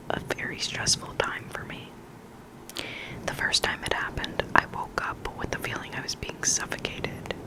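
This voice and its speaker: Whispered creepy ASMR storytelling, close to the mic. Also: poor audio. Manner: whispering throughout, sometimes repeating words. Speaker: ASMR reader of listener stories. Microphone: close ASMR microphone.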